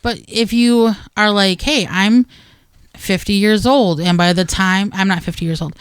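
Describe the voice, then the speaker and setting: A woman's voice: medium pitch, steady tone, measured pace, smooth, no room sound. An American woman, middle-aged, co-hosting a radio show with casual, plain-spoken advice and hypothetical asides. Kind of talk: casual gardening advice chat.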